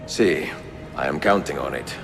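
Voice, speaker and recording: An actor playing a self-assured, wry master assassin with an Italian accent in a game cutscene, terse and dry. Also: male voice, very deep voice, animated, moderate pace. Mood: resolute. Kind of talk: video game cutscene acting. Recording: loud music behind the voice.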